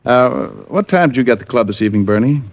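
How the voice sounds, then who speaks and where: An actor playing a shrewd, persistent private detective in an old radio drama, on a muffled recording. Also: male voice, medium pitch, lively intonation, fast talker, no room sound, lightly acted. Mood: probing, composed.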